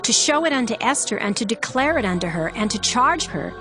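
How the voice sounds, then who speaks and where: A woman giving solemn, measured dramatic narration of scripture, with music behind her. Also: on the high side, expressive. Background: background music.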